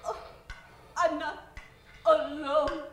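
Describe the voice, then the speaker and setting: A woman's voice: level tone, drawn-out words, distant mic. An actress playing a frightened, pleading captive girl in an old-time radio play, with a whimpering voice. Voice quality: high, whimpering. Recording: echoey stage, faint music behind.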